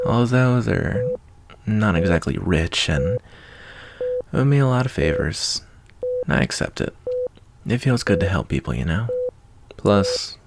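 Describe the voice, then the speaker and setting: A man playing a kindly, easygoing wasteland doctor in a soft-spoken, intimate ASMR roleplay, reassuring a patient in a folksy way. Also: low-pitched, vocal fry, heavy background noise.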